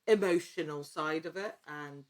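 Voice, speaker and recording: Wordy, low-pitched woman chatting. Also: unhurried. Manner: wordy, self-interrupting, rambling. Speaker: podcast guest, actor and producer. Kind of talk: casual interview chat.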